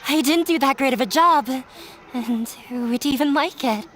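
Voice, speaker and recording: An actress playing a shy, nervous young woman in a video game, halting and full of self-doubt. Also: high-pitched, fairly bright tone, faint music behind. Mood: unsure. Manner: hesitant, halting. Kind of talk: game voice acting.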